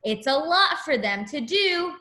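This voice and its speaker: A woman's voice: high voice, big pitch swings, measured pace, bright, forceful tone, echoey room. A woman teacher reading a picture book aloud to young children, expressively, with simple asides to her listeners.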